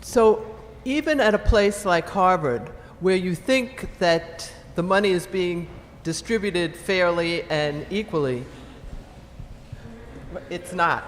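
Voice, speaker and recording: A woman's voice: fairly low pitch, slow pace. A woman professor on a panel, answering an audience question candidly and thoughtfully. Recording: hall reverb with faint room noise.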